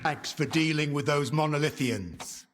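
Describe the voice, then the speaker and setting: An actor playing a businesslike leader of armed men in a video game, with a husky, authoritative, matter-of-fact delivery. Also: male voice, a little high, unhurried, heavy background noise, natural voice. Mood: brisk. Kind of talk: video game dramatic acting.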